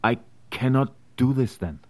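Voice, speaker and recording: An actor playing an anxious, reluctant immigrant tradesman with a foreign accent in a historical drama, speaking in halting, careful phrases. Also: medium pitch, expressive, lightly acted. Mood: doubtful. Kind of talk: period historical drama acting.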